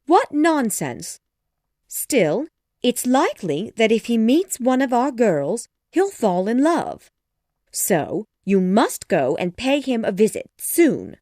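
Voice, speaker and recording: A woman voicing an excitable, easily flustered middle-aged wife and mother in a storybook, impatient and exclamatory. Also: on the high side, slow pace, no room sound, studio quality, pitched above the performer's own voice, full character voice. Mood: coaxing, exasperated. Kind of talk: storybook dialogue acting.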